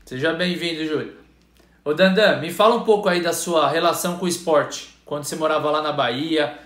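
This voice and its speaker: Brazilian guy interviewing someone, kinda high voice, super effusive and slangy, roomy echo. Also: fairly quick, fairly bright tone. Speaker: interview host, physical education professional. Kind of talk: enthusiastic online interview chat.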